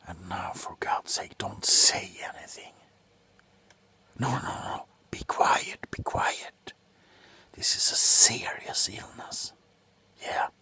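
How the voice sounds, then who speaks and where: A middle-aged man playing a grumpy, drunk doctor in an ASMR roleplay, grumbling sardonically close to the mic between soft talk and whispers. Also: on the low side, gravelly, exaggerated voice. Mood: mock urgent. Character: grumpy, drunk male doctor on a Christmas house call. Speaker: ASMR performer. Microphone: very close to the face.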